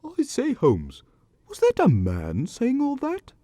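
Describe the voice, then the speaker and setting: A man's voice: extremely high pitch, wildly swooping pitch, slow pace, warm tone, no room sound, heavily acted. A man playing a flustered, astonished detective's sidekick, blustering and stammering in a comic radio-style sketch.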